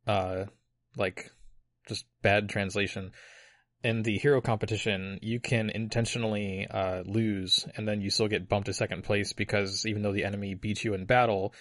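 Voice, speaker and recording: A man with a fairly low voice, recorded dry and close with no room echo. He explains things in detail, filling pauses with uhs and likes, in a casual podcast chat. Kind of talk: casual chat about a video game.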